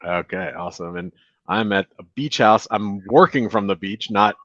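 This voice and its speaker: A man hosting a casual video-call interview from a beach house, relaxed and chatty with filler words. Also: fairly low pitch, normal speed, no echo. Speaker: show host. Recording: dry, dull lo-fi audio.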